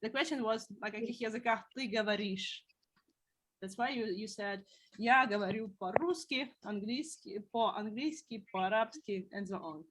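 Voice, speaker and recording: A woman's voice: medium pitch, a little slow, a bit bright, poor audio. A woman teaching a beginner language class, patiently explaining words one at a time.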